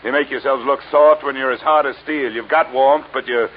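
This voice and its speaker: A man's voice: medium pitch, fairly even intonation, fairly quick, lightly acted. An American actor playing a cynical, curt male insurance investigator in an old radio crime drama, speaking in a tough, sardonic way. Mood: accusing, exasperated. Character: hard-boiled, cynical male insurance investigator narrating his own case. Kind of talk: 1940s-50s American radio detective acting and first-person narration. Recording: muffled, noisy old radio recording.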